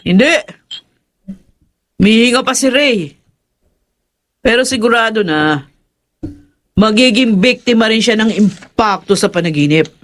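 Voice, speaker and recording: A woman's voice: fairly high pitch, expressive, unhurried, pauses often. An actress playing a vengeful, scheming woman who commands a demon in a horror audio drama, wicked and theatrical. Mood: smug, cruel.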